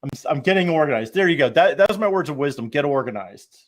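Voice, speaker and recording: A man's voice: a little high, lively intonation, fast talker. A middle-aged man talking informally as a panelist on a podcast. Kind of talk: casual chat.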